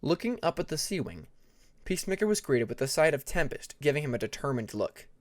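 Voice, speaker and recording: Audiobook narrator, medium pitch, animated intonation, scripted fantasy storytelling. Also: brisk, dry recording.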